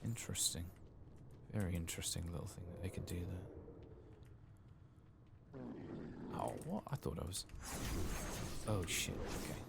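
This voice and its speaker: Very low, gravelly soft-spoken man. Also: animated, moderate pace, pauses often. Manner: muttering, casual, mildly sweary. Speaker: game streamer, ASMR playthrough host. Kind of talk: soft-spoken and whispered ASMR gaming commentary. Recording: clearly audible background noise.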